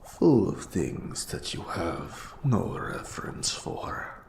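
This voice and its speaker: A man voicing an eldritch mentor of dark magic in a scripted fantasy roleplay, whispering gravely with ominous, formal, archaic speech. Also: big pitch swings, slow pace, slight background noise, exaggerated voice. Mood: grave, brooding. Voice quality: breathy whisper with gravelly low rasp. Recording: roomy echo on the voice.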